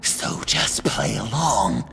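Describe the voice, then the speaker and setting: A deranged male game villain, with a bright, gravelly edge. He taunts with mock politeness and theatrical menace, swooping wildly from playful to threatening. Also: fairly low pitch, wildly swooping pitch, slow, faint music behind, heavily acted. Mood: mock-sweet menace. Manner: mock-polite, taunting, sudden swerves in delivery. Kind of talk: video game voice acting.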